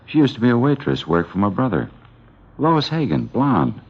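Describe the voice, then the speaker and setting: An excitable witness in a 50s radio cop show, really animated pitch, muffled sound. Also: male voice, normal speed, breathy edge, heavily acted. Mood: eager. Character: eager, insistent male witness recognising a blonde waitress. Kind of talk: 1950s radio drama acting. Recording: dull vintage radio recording.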